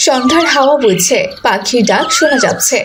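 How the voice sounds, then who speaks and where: A woman's voice: high-pitched, expressive, a little fast, very noisy. A woman reading scripted narration for a children's story.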